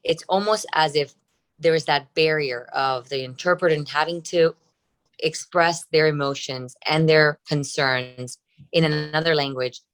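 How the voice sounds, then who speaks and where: A woman's voice: low voice, measured pace, clear, resonant tone. A middle-aged Cuban-born woman, a breast surgeon whose first language is Spanish, answering interview questions in an earnest way and explaining with analogies. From Cuba.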